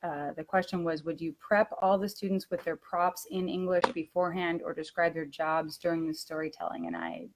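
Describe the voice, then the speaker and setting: A woman's voice: low-pitched, lively intonation, fairly quick, hardly any pauses, background noise. A woman speaking as a presenter and teacher trainer in an informal, conversational webinar.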